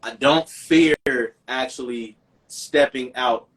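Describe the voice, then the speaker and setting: A man's voice: medium pitch, takes it slowly, far from the mic. A man from Chicago, a singer guest in a casual interview, talking in a reflective, earnest way and often repeating words as he thinks. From Chicago, USA.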